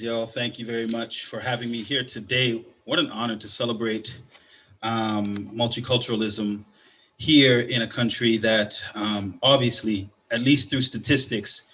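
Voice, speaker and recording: A man's voice: fairly low pitch, bright, forceful tone. A man who is a poet and arts educator, born in Canada and raised partly in Guyana, greets the audience and then performs a spoken word poem with a rhythmic, rising delivery.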